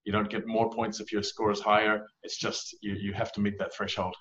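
Low-voiced man hosting a video-call interview. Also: level tone, fairly bright tone. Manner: measured, asks follow-up questions, fills in with short nods. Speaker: interview host, immigration specialist. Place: office indoors. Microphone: video call webcam. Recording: dull lo-fi call audio with faint noise.

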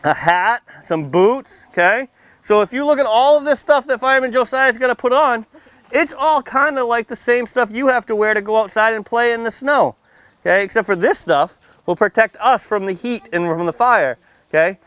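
A man's voice: very high voice, moderate pace, a bit bright, no echo. A man speaking outdoors as a firefighter presenting to children, in simple, playful language with frequent questions and check-ins. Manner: asks questions, checks in often with "okay" and "right"; playful teasing. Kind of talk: simple interactive safety talk for kids. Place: outdoors under a tent canopy beside a building, small seated audience.

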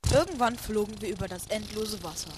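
High-voiced male narrator, noisy recording. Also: brisk. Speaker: narrator and lead character. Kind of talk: scripted comic storybook narration, parody audio drama. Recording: clearly noisy homemade recording.

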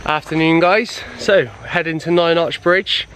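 A man's voice: on the high side, measured pace, fairly bright tone, a little hiss or hum, rough recording. A man in his twenties chatting casually and informally to a handheld camera as a travel vlogger. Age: 20s. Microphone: camera at arm's length.

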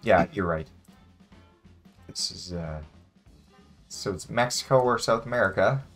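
A man's voice: deep voice, unhurried, fairly bright tone. A young adult man thinking aloud in casual chat as he plays a guessing game. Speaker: player in a geography guessing game. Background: music in the background.

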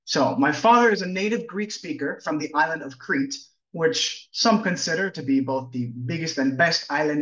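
A man's voice: a little high, reverberant. Man presenting over a video call. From New Jersey, USA. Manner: friendly, lightly joking, explanatory. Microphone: webcam on a video call. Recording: distant, dull-toned video call audio.

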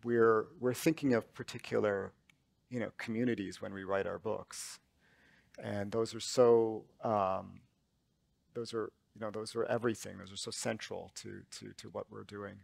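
A man in his fifties, an author on a stage panel, speaking haltingly with many restarts as he searches for words. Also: lowish voice, level tone, gravelly, no echo. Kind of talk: reflective panel talk. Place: large auditorium stage.

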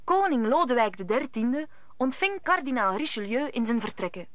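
A woman's voice: on the high side, sing-song, moderate pace, fairly bright tone, dry recording. A woman narrating a radio play in a scripted storybook style.

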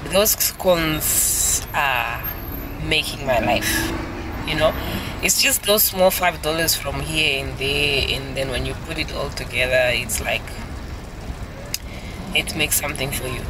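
A woman's voice: low-pitched, slow, long pauses. A woman, chatting casually to her vlog camera from inside a car, thinking aloud as she talks. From Namibia. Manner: chatty, self-questioning, laughs easily. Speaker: vlogger and small restaurant owner. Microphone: phone at arm's length. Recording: loud traffic noise in a car.

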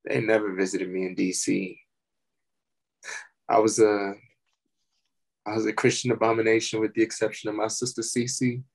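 Casting: male actor as gravely ill man, thirty-five, low register, embittered and tired, stage drama. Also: flat delivery, normal speed, long pauses, low-quality recording. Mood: bitter. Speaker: actor at a table reading. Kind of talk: dramatic script reading.

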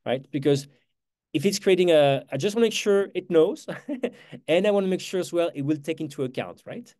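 A man in his forties with a French accent, hosting a live online workshop, chatty and quick, correcting himself as he goes. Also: on the high side, lively intonation, darkish tone. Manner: fast, chatty, self-correcting, with quick laughs. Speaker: workshop host.

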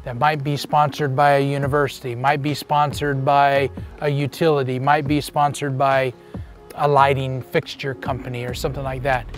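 A man's voice: mid-range voice, level tone, normal speed, soft background music. A man in his fifties giving an explanatory interview as an energy specialist. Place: outdoors among trees by a wooden fence.

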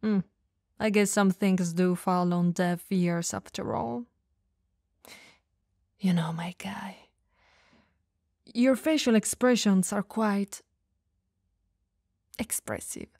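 A woman playing an elegant, dominant vampire lady who teases flirtatiously yet caringly in an ASMR roleplay, softly and breathily. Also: mid-range voice, dry recording, studio quality. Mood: smug, amused. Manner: soft, breathy, slow teasing delivery, often dropping to whispers.

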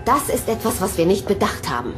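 An actress plays an inquisitive, conflicted female scientist in a science fiction audio drama. She speaks earnestly in a mid-pitched voice with lively swings of intonation, over clear music. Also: brisk, natural voice. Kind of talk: German audio drama acting.

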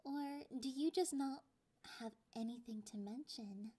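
A possessive young catgirl girlfriend, acted by a woman, purrs in a high, girlish voice. She takes her time over every taunt, sliding between intimate speech and breathy whispers in an ASMR roleplay style. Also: unhurried, mellow. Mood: teasing, taunting. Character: clingy, possessive, teasing young catgirl girlfriend, slightly sadistic.

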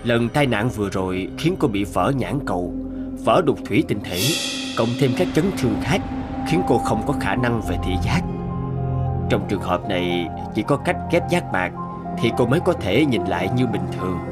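A man's voice: lowish voice, animated, moderate pace, natural voice. A man voicing a grumbling lazy old village husband. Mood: calm. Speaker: voice actor for several male cartoon roles. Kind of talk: cartoon dubbing. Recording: cartoon soundtrack with loud music.

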